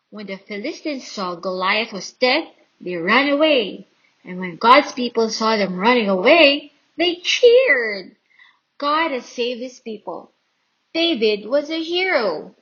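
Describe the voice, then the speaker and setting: A woman reading a children's Bible story aloud in an expressive, dramatic and deliberate storytelling style. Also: fairly high pitch, husky, dull audio. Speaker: storybook reader.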